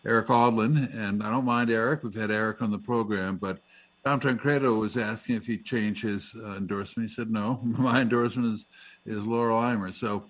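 A man co-hosting a casual talk radio show, hesitant with many uhs, trailing off softly. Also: fairly low pitch, fairly even intonation, a little fast.

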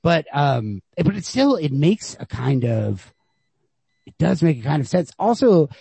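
A man's voice: fairly high pitch, sing-song, unhurried, creaky, dark tone, slightly rough, no room sound. A man hosting a podcast, casually discussing a story in a rambling way, retelling it with quoted reactions of his own. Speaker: podcast host. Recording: lo-fi home podcast recording.

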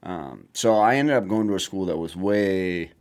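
Low-voiced man from Germany telling stories. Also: normal speed. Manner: relaxed, anecdotal, wry. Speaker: podcast guest. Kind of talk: casual storytelling interview.

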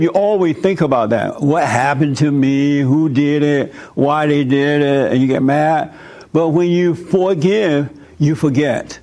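A man's voice: fairly high pitch, a little mellow. A man preaching and chatting with visitors, emphatic and repeating his phrases.

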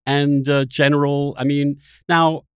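A man hosting a conversational political interview, probing and debating with halting, repeated phrasing. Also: on the high side, flat delivery, clear, resonant tone, no echo, studio quality. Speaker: podcast host.

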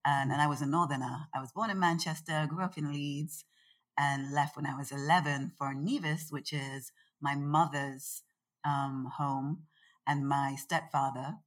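A woman guest talking in a chatty, expressive way during a casual interview, speaking from Nevis. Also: low voice, a little slow. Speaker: guest, organization founder.

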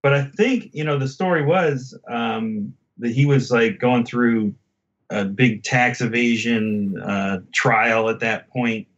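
A man in a casual album discussion as a podcast guest, speaking haltingly and often circling back to rephrase. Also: mid-range voice, a bit bright, muffled recording.

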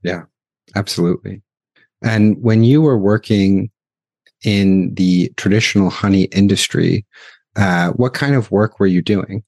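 A man hosting an interview podcast, reading a scripted introduction and then asking questions in a plain, steady way. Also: on the low side, measured pace, creaky, no room sound. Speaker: podcast host and investor.

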